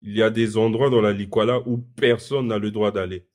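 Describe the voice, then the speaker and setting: A man from the Republic of the Congo, a guest in a live online debate, who answers calmly and with some hesitation. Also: lowish voice, fairly quick.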